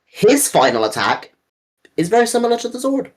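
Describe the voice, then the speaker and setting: A man's voice: very high voice, huge pitch swings, fairly quick. A man co-hosting a casual fan discussion, talking in an enthusiastic, rambling way with some casual swearing. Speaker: co-host.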